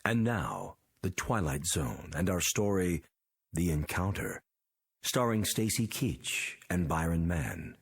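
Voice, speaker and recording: A man's voice: low-pitched, sing-song, slow pace, creaky, breathy edge. A man announcing the title and cast of a radio drama.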